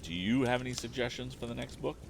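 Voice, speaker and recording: A man's voice: medium pitch, fast talker, vocal fry, slight background noise. A man hosting a livestream, chatting casually and thinking aloud between stretches of book reading. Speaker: storytime livestream host and reader. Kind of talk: casual stream chat and book narration.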